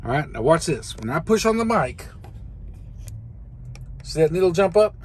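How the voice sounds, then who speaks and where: Plain-spoken American man over clear background noise. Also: fairly high pitch, very animated, moderate pace. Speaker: truck driver giving a tutorial. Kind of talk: casual hands-on explainer. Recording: clearly noisy vehicle cab.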